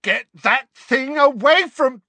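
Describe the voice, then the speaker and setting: A man's voice: lowish voice, sing-song, unhurried, bright, forceful tone, dry recording, exaggerated voice. A man voicing a big, surly, boastful teenage bully boy who snarls and sneers, in acted audiobook dialogue. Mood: panicky.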